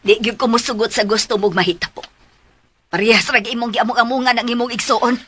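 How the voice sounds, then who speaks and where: Woman as a sharp, scolding, exasperated mother. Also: on the high side, fairly even intonation, brisk, a little hiss or hum, close to an everyday voice. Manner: emphatic, nagging, sighing interjections. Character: scolding, exasperated mother of two grown sons. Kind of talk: radio drama acting.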